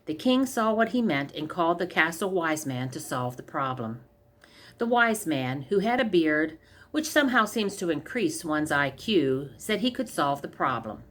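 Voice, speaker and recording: A woman narrates a children's storybook from a script. Her voice sits somewhat low as she reads the tale aloud. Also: very animated, normal speed, a bit bright. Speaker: story reader.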